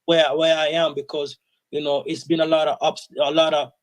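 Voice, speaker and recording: A fairly high-voiced man talks in an earnest, reflective way, filling his sentences with "you know". The sound is dry and close, with no room around him. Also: level tone, moderate pace, no room sound. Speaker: interview guest, sound system selector. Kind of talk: casual interview.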